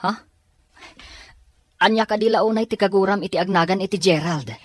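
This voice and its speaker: Woman as earnest, persistent young male student, medium-pitched, audio drama, very dry recording. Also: normal speed, dry recording, close to an everyday voice. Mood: pleading, confused.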